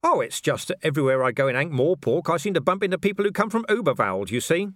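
A man's voice: a little high, big pitch swings, quick pace, a bit bright, no room sound, voice pushed up. A man voicing a cornered but defiant city watchman in an audiobook, dry, sarcastic and needling. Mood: needling bravado.

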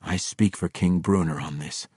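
A man voicing a principled, resolute male drow ranger who speaks in a measured, firm way. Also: low voice, steady tone, darkish tone, slightly rough, no room sound, studio quality.

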